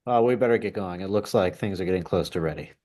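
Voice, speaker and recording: A man's voice: low voice, quick pace, mellow, lightly acted. A man playing an eager fashion-show presenter in a script table read. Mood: hurried.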